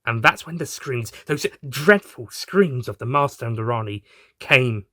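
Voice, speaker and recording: A man reading a scripted story aloud as an expressive narrator. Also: mid-range voice, unhurried, no room sound, studio quality. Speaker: narrator and reader.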